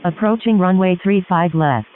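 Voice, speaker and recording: High, smooth automated male runway callout. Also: normal speed. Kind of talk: clipped automated announcement. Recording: thin narrow-band cockpit audio.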